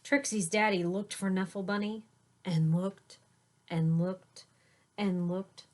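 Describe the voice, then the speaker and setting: A woman teacher reading a picture book aloud to children, pausing for simple explanatory asides. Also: mid-range voice, fairly even intonation.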